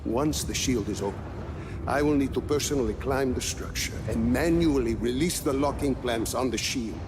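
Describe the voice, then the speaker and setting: A man's voice: mid-range voice, normal speed, music in the background, lightly acted. An actor playing an earnest older male scientist and mentor in a video game cutscene. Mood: earnest, explanatory.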